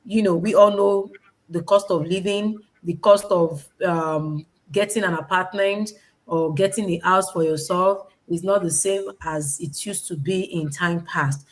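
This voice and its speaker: A woman's voice: on the low side, steady tone, unhurried. A woman presenting informally online, emphatic, repeating phrases and asking rhetorical questions. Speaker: online presenter, business owner and educator.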